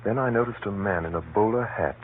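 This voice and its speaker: A man acting a grave gentleman who narrates a spy plot in an urgent, deliberate, dramatic 1930s radio drama style. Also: fairly low pitch, close to an everyday voice. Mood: hushed, recounting. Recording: muffled, noisy 1930s radio recording. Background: faint hiss and noise.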